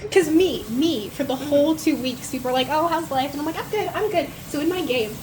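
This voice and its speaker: A woman's voice: high voice, a little fast, heavy background noise, natural voice. A woman playing a flustered, rambling young woman in improvised in-character roleplay. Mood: flustered, gossipy.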